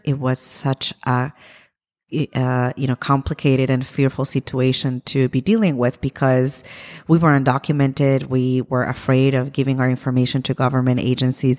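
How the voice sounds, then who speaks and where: A woman's voice: rumbling low, in a large hall. A woman in her thirties from Ecuador, answering interview questions earnestly and reflectively, with frequent ums and uhs. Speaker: guest, immigrant rights leader.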